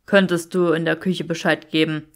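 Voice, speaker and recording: A woman voicing a haughty, dry young wizard gentleman with clipped courtesy in an audiobook story. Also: low-pitched, little pitch movement, moderate pace, pitched below the performer's own voice. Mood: courteous, composed.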